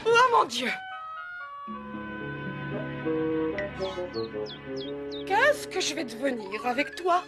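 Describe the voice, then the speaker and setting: A woman's voice: high voice, big pitch swings, normal speed, over loud music. A woman playing an eccentric, determined schoolteacher in a French cartoon dub, theatrical and exclamatory. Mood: exasperated, dramatic. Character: eccentric, determined female schoolteacher who scolds her bus.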